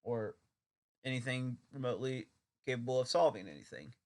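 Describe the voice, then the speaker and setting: A man's voice: medium pitch, a little fast, darkish tone. A young man reviewing an old anime dub in a casual, sarcastic, rambling way.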